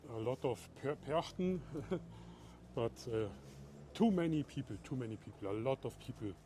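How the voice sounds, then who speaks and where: A middle-aged man from Bavaria with a German accent, chatting casually while walking outdoors and talking to viewers in a live video, moving between German and halting English. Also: mid-range voice, lively intonation, warm tone, a little hiss or hum. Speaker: livestream vlogger. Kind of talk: casual live walk-and-talk. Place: outdoors in a town at night.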